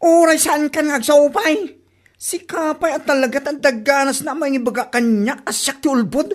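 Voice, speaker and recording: A woman playing a brash, sharp-tongued neighbour in a comedy audio drama, speaking with an Ilocano accent. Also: sits high, moderate pace, close to an everyday voice. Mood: offended, retorting. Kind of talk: Ilocano comedy radio-style drama acting.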